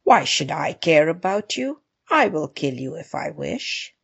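A woman's voice: deep voice, big pitch swings, a little slow, gravelly, fairly bright tone, breathy edge, dull audio, full character voice. A woman voicing a proud, hot-tempered, greedy lion king with an imperious, demanding manner in a storybook tale. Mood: scornful.

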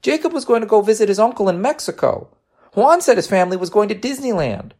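Man voicing a glum, high-pitched little schoolboy. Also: sing-song, quick pace, a little mellow, exaggerated voice. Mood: glum, worried. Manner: hesitant, downcast, protesting. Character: glum young schoolboy, anxious, sulky, normally talkative.

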